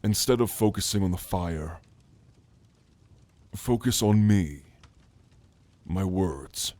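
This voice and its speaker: A man playing a gentle, scholarly orc mage in a soft, earnest, halting ASMR roleplay, speaking intimately to the listener. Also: low-pitched, animated, no echo, full character voice. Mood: gentle, coaxing.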